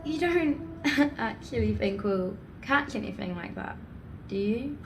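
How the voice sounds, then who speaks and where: A woman's voice: on the high side, expressive, unhurried, noisy background. A woman playing a sarcastic, sceptical young video maker in an audio drama, dry and questioning, uneasy but bold. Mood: amused, doubtful. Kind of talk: naturalistic audio drama acting.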